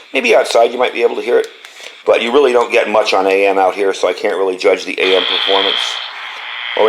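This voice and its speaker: A low-pitched man talks through a product demo in a casual, explanatory way. His delivery is plain and conversational. The recording is noisy, with static and hiss behind him. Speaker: gadget reviewer presenting a product demonstration. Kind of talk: casual hands-on review talk.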